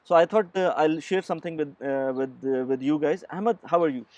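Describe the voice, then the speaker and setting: A man's voice: fairly high pitch, fairly quick, a little mellow, no echo. A man hosting a casual outdoor interview in a park, chatty, with many fillers and restarts. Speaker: host.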